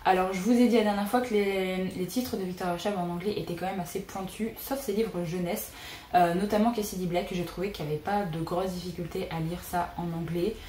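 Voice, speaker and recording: Young woman, chatty booktuber, mellow tone, roomy echo, faint hiss. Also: fairly low pitch, steady tone, brisk. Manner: chatty, frequent "euh" fillers. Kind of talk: casual book wishlist vlog. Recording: echoey room with faint noise.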